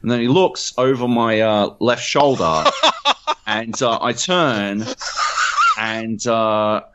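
A man's voice: fairly low pitch, takes it slowly, lo-fi sound, a little hiss or hum. A man with an Australian accent, co-hosting a podcast and telling a long, swear-filled story with lots of "uh" and "like". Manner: animated, profane storytelling with many fillers. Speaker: podcast co-host. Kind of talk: casual podcast chat.

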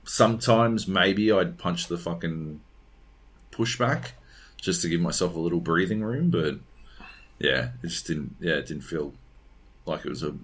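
A man's voice: a bass voice, fairly bright tone, breathy edge. A man chatting casually and bluntly as a podcast co-host, rambling and swearing as he reviews video games. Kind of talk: casual unscripted chat.

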